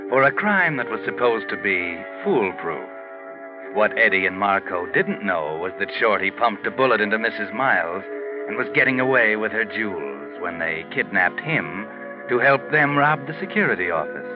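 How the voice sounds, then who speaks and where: An actor playing a sardonic, ominous American mystery storyteller on a 1940s radio show, mocking and deliberate. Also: male voice, lowish voice, close to an everyday voice. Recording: muffled 1940s radio recording with music. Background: music.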